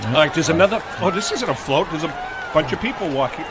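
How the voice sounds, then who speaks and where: High-pitched animated man announcing a parade. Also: quick pace, a crowd in the background. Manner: playful mock-grand announcing, teasing. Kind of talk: jokey live parade commentary with co-hosts. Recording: noisy outdoor street ambience.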